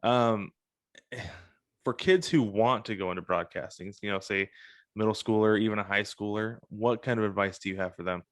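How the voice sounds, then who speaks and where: A man with a fairly low voice speaks as a podcast interviewer. His delivery is casual and chatty, and the sound is close and free of any room echo. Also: steady tone, normal speed, fairly bright tone.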